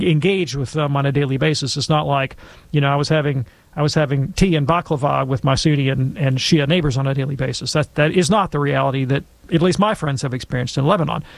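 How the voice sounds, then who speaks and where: A man answering an audience question in a thoughtful, measured, explanatory way. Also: fairly high pitch, vocal fry, no echo.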